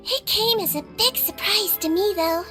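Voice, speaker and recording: A woman voicing a small, gentle young girl nurse of a fairy-like species, polite and composed, in anime video game dialogue. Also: extremely high pitch, normal speed. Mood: calm, sincere. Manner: composed, courteous, matter-of-fact. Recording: music bed behind the voice.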